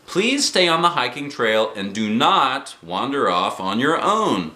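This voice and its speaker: A man's voice: medium pitch, sing-song, measured pace, bright, forceful tone, echoey room, voice pushed up. A man voicing an earnest male tour guide in a storybook, polite and announcing. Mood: instructive.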